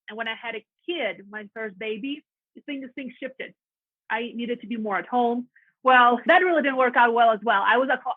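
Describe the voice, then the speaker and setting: A woman from Latvia speaks with a Russian accent, her voice sitting a little high. She tells her story in a chatty, earnest way. The sound is dry and thin, like a phone line. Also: slightly rough, no room sound. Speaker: realtor guest. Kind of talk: casual podcast interview.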